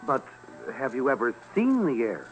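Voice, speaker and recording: A man's voice: mid-range voice, huge pitch swings, normal speed, rough recording. A man playing an earnest, doubting pupil in a vintage radio drama, formal and deferential. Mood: respectful doubt. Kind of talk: 1950s radio drama acting. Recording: vintage radio broadcast, music behind.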